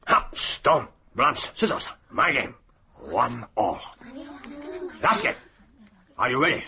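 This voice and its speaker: Forceful, swooping spy chief. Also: male voice, medium pitch, wildly swooping pitch, vocal fry, heavily acted. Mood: boisterous, competitive. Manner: ceremonious, deliberate phrasing with hearty outbursts. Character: boisterous, formal Japanese spy chief, shrewd genial host. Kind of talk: radio drama acting. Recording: muffled radio broadcast with faint noise.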